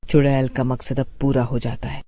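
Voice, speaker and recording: A woman's voice: extremely low pitch, level tone, normal speed, warm tone. A woman storyteller reading a scripted horror tale as narrator.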